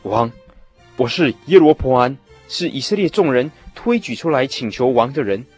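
A man playing an earnest, respectful people's leader petitioning his king in a radio drama, formal and husky. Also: mid-range voice, animated, normal speed, darkish tone, soft background music. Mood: earnest pleading. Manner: formal, deferential. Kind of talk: Bible radio drama acting.

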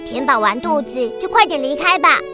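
Bossy candy fairy, very high and wildly animated, huffy scolding delivery for children's radio drama. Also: female voice, a little fast, music in the background. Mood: impatient. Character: bossy, grumpy little candy-tree fairy.

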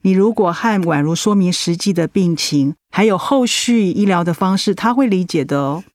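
An actress playing a polite, reassuring schoolteacher in a radio drama, with an explanatory manner. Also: female voice, on the low side, moderate pace, a little mellow, no echo, studio quality, natural voice.

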